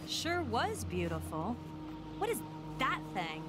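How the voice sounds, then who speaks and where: A sassy, bored powerhouse heroine in a video game. Her high voice drawls sarcastically and swoops widely, with loud music behind. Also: huge pitch swings, slow, full character voice. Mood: sarcastic, sulky. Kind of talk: video game cutscene acting.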